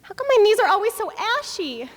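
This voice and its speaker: A woman's voice: very high-pitched, very animated, brisk, voice pushed up. A woman performing an anxious fourteen-year-old girl in a dramatic stage reading. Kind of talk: dramatic poetry recitation. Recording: reverberant hall.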